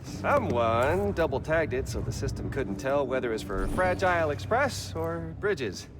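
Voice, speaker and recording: An actor playing a chatty, apologetic delivery clerk in a video game, with an awkward, hesitant, affable manner. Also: male voice, on the high side, very animated, moderate pace. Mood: flustered, apologetic. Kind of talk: video game character acting. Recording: noisy ambience behind the voice.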